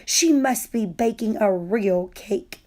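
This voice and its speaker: A woman voicing a playful, secretive young schoolgirl in a hushed, conspiratorial way for a children's storybook. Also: medium pitch, takes it slowly, natural voice. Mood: hushed excitement. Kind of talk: children's storybook read-aloud.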